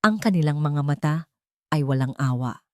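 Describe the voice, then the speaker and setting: Female narrator, Filipino accent, lower register, solemn theatrical storytelling for audio drama, dry studio-style sound. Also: animated, normal speed, warm tone, no room sound. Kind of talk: dramatic first-person scripted story narration.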